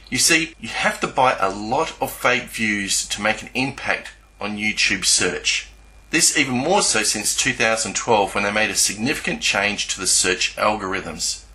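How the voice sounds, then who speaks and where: A man giving a scripted explanatory narration in a measured, didactic way, spelling out each step. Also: on the low side, bright, forceful tone. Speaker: YouTube channel narrator.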